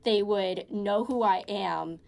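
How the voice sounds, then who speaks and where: A woman's voice: medium pitch, slow, vocal fry, darkish tone. A young woman, a college student, talking casually in an interview, rambling with many fillers and restarts. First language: English. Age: young adult. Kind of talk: casual conversation.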